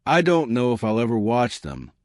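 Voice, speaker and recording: A man's voice: medium pitch, big pitch swings, moderate pace, no echo, clean studio sound, close to an everyday voice. A man voicing a wistful, faded film actress in a storybook mystery, with a dramatic manner. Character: Vivian, wistful faded film actress, clinging to her past.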